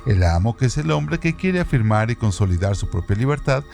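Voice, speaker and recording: A man in a middle register reads a scripted text at a deliberate pace. His pitch moves a lot, and clear music plays under his voice. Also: big pitch swings, a little mellow. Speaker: co-host reading trivia segment. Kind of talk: scripted reading. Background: background music.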